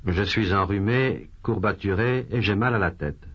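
A patient with a cold, read by a man for a language lesson, in a lowish, husky voice. He says each line slowly and carefully, then repeats it. The recording is muffled and lo-fi. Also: a bit bright. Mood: plaintive. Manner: slow, careful, repeated phrases. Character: adult male patient with a cold. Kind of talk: scripted language drill dialogue. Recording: dull lo-fi course tape.

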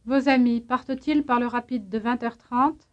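High-voiced woman reading drill prompts. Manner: clear, measured drill questions. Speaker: language instructor. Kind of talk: scripted language drill prompts. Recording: dull lo-fi language tape.

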